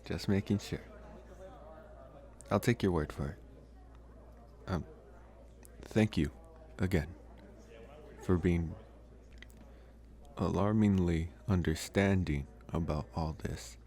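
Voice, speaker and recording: A man playing a young college vampire boyfriend in an ASMR roleplay, speaking gently and hesitantly, with wry playfulness. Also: sits low, slow pace, long pauses. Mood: grateful, tentative. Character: young male college vampire boyfriend, sweet, awkward, teasing.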